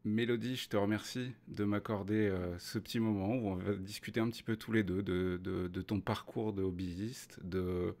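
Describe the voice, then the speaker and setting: Low gravelly French man hosting. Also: fairly even intonation, normal speed, hardly any pauses, darkish tone, slight background noise. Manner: hesitant, many restarts and fillers. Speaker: host. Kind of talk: casual interview chat.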